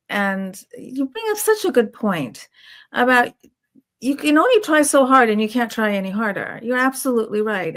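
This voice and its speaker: Affirming woman interviewer with mid-range voice. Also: medium pitch. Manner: affirming, reflects back what guest says. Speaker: interview host. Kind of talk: conversational interview.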